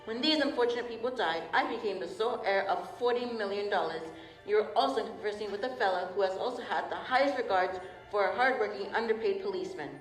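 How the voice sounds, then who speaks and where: A fussy, formal family lawyer acted by a woman in a radio mystery. The delivery is measured and proper at a middling pitch, in an echoey hall with faint music. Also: natural voice. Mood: smooth, proper. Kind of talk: radio drama acting.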